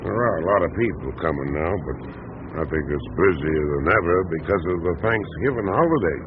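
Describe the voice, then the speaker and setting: A man's voice: deep voice, animated, brisk. An actor playing a folksy, sociable small-town man in a radio drama, neighbourly and a little hesitant. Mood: welcoming. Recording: phone-like band, clear background noise.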